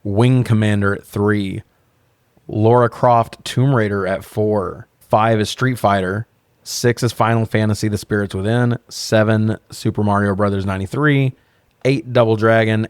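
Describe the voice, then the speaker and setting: A man with a fairly low voice co-hosts a casual review podcast. The sound is dry, with little room around him. He is opinionated and joking, and he swears freely. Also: steady tone, unhurried, clear, resonant tone, no room sound. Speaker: podcast co-host. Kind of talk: casual film review chat.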